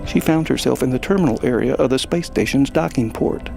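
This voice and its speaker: A man's voice: medium pitch, fairly quick, vocal fry, mellow, soft background music. A man reading scripted story narration for an audio drama.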